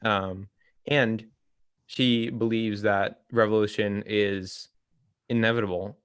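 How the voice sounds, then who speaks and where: A young man co-hosting a casual discussion, talking in a hesitant, halting way. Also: fairly low pitch, fairly even intonation, fairly bright tone, smooth, no room sound, clean studio sound. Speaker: co-host of a reading discussion.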